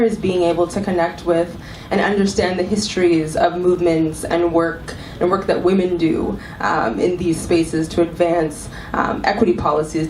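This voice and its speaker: Panelist, woman with a cold, slightly low voice, thoughtful with many ums, distant mic in an echoey room. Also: a little mellow. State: has a cold. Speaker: panelist, arts executive director. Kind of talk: panel talk to a live audience. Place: club event room. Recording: echoey room, mic from far off, faint hiss.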